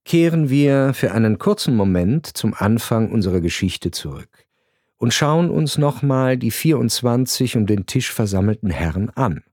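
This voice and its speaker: A man reading a scripted radio narration in a grave, measured storytelling manner. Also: mid-range voice, expressive, a little mellow, husky, no echo, clean studio sound.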